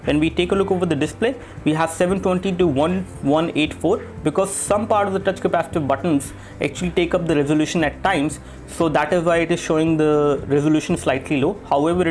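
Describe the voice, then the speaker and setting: Male tech reviewer, fairly high pitch, explanatory hands-on narration over noticeable music. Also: a little mellow.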